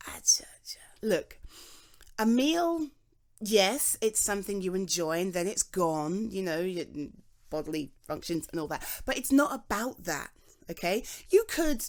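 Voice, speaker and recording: A young woman with a British accent chats softly in an ASMR roleplay, reassuring a friend who feels guilty about treating themselves. Her voice moves lively up and down, and small laughs come often between her sentences. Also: medium pitch, unhurried. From Britain. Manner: chatty and reassuring, with frequent soft laughs.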